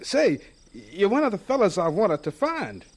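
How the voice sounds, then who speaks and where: An actor playing an earnest, folksy, good-humored agriculture professor in a radio drama. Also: male voice, high voice, huge pitch swings, a little slow, full character voice. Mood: amused, friendly.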